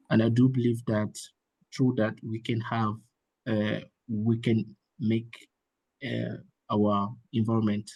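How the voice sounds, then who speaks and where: A man's voice: fairly low pitch, darkish tone, husky, dry recording, rough recording. A young man with a West African accent, speaking English as an additional language, formally introducing himself as an online panelist. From Guinea, West Africa. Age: young adult. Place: indoor room, video call. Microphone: close to laptop on video call.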